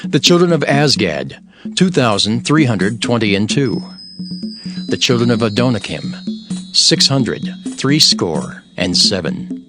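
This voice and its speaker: A man's voice: lowish voice, sing-song, unhurried. Solemn male scripture narrator over music.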